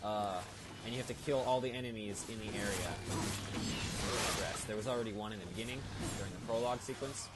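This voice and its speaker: A man's voice: fairly low pitch, quick pace, very noisy. A man giving casual, rambling and explanatory commentary as he plays through a video game. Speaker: video game let's-player. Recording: loud game music behind the voice. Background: loud video game music.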